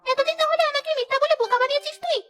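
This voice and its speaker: A woman's voice: very high-pitched, fairly even intonation, very fast, pushed and bright, faint music behind, voice pushed up. A woman voicing a menacing, domineering female ghost who hungers for children in a cartoon, with a taunting delivery. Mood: menacing, accusing. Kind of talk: cartoon character acting.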